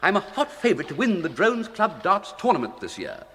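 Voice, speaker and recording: An actor playing a flippant, idle young English gentleman with a breezy, plummy, upper-class accent and exclamatory period slang, in a British radio comedy. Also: sits high, huge pitch swings, brisk, exaggerated voice. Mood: chatty, flippant. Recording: long echoing room reverb.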